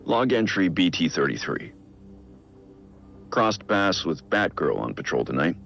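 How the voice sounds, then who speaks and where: Brooding middle-aged American crimefighter for game audio logs; introspective, gravelly delivery, slightly muffled sound over soft music. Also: male voice, very animated, measured pace, full character voice. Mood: reflective, admiring. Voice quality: gravelly, low. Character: brooding middle-aged male masked vigilante dictating case logs. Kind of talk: scripted audio-log monologue.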